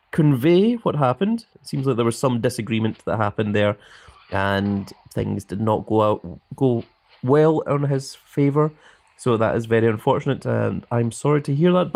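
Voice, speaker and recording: Man, young adult, live game streamer, friendly, chatty, reads chat aloud, mid-pitched voice. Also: a little mellow. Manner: chatty and friendly, with frequent ums and uhs. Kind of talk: casual live-stream chat, reading viewer comments.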